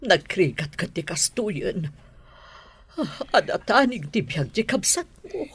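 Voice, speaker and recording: A woman's voice: medium pitch, very animated, takes it slowly, breathy edge, no echo, exaggerated voice. A woman playing a worried, disapproving mother of grown children in an Ilocano radio drama.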